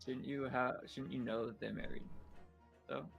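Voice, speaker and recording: A young man chatting casually with friends on a live stream, earnest and quick to protest. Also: medium pitch, fairly even intonation, vocal fry, a bit bright, muffled recording, faint music behind. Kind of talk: casual chat among friends. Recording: noisy mic with background ambience.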